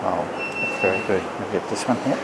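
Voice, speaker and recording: A man's voice: low voice, moderate pace, creaky. Middle-aged man, noisy factory recording. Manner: agreeable, approving short responses. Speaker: host of factory tour. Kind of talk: casual on-site tour chat. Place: indoors in electronics factory rooms and assembly hall. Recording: noisy factory ambience, handheld camera sound.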